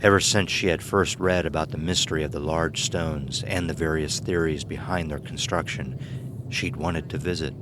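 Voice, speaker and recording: A man's voice: rumbling low, steady tone, moderate pace, background noise. A man narrating and announcing from a script for an audio drama. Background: music.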